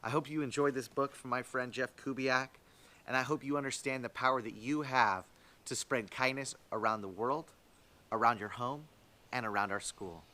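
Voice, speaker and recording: A man reading a children's storybook aloud in an expressive, emphatic way. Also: mid-range voice, moderate pace. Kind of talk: scripted storybook read-aloud.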